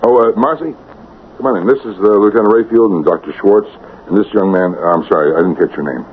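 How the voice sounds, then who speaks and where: Lowish-voiced, harried hotel manager played by a man. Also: quick pace, lightly acted. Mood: aggrieved. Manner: offhand, hesitant, aggrieved. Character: harried, complaining male hotel banquet manager. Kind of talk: radio drama acting. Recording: dull old radio recording, faint hiss.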